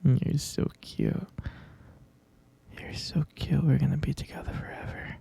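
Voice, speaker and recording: A man's voice: mid-range voice, mellow, heavily acted. Man acting a whispering, obsessive yandere captor. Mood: soothing, possessive. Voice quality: breathy, gravelly whisper. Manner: coaxing, slow, cooing, insistent. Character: obsessive yandere captor, tender, delusional, possessive lover. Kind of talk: intimate whispered ASMR roleplay. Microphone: close-up microphone.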